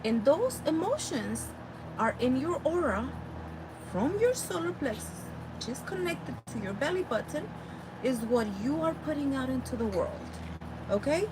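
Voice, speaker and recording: A woman's voice: high-pitched, measured pace, background noise. A woman from El Salvador with a Salvadoran Spanish accent, a native Spanish speaker, teaching about spiritual healing and talking straight to her listeners. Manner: animated, addresses listeners directly. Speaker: spiritual healer.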